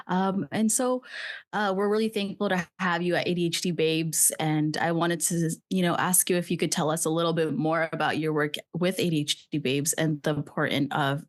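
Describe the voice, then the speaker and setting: A woman hosting a podcast interview, reading her introduction and then asking questions with some hesitation and fillers. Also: low-pitched. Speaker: podcast host.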